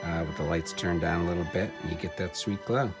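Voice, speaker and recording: A man giving a casual, off-the-cuff gadget demo, wry and excitable, talking to himself as he tinkers. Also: deep voice, brisk. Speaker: vintage electronics seller demonstrating a device. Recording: music playing behind the voice. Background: music from the player being tested.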